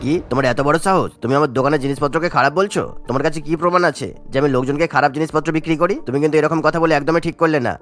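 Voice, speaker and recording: A man's voice: a little high, fairly bright tone, natural voice. A man voicing a spiteful, blustering grocery shopkeeper in a cartoon, scolding and argumentative. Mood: indignant, threatening. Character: spiteful, grumbling male grocery shopkeeper, bullying rival.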